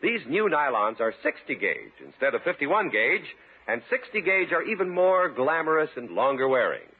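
A man's voice: fairly high pitch, breathy edge, narrow, tinny audio. An American man announcing and reading ads in scripted 1950s radio style. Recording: thin old radio recording with loud music. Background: loud music.

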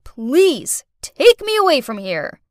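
A woman's voice: sits high, wildly swooping pitch, takes it slowly, no room sound, studio quality, full character voice. A woman playing an exasperated young heroine in a story, indignant and melodramatic. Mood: urgent, confrontational.

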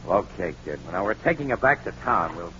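An actor playing a tough, wisecracking newspaper photographer, brisk and slangy, in a 1940s radio crime drama. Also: male voice, sits low, big pitch swings. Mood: hard-boiled. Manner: brisk, hard-boiled, slangy. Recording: muffled, noisy old radio recording.

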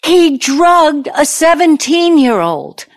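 A woman's voice: sits high, big pitch swings, slow pace, full character voice. Woman acting a bitter, vengeful older ex-girlfriend. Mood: angry, accusing. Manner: dramatic, pointed, reminiscing. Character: bitter, wounded, vengeful ex-girlfriend from mogul's college days. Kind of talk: audio play acting.

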